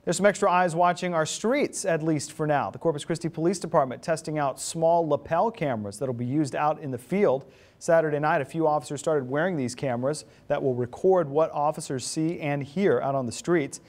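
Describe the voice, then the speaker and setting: A man's voice: on the high side, fairly quick, a little mellow. A man reading scripted news as a television anchor. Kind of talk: scripted newscast delivery.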